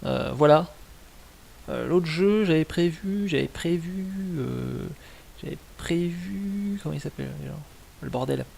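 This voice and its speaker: A man's voice: on the high side, measured pace, creaky, darkish tone, a little hiss or hum. A man giving casual, slangy, mildly sweary commentary as a video game streamer. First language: French.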